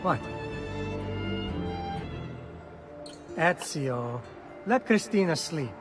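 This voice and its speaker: Game role: confident young Renaissance Italian, teasing big brother, high voice, music behind. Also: male voice, animated, slow pace. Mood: brotherly. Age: young adult. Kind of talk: acted video game dialogue.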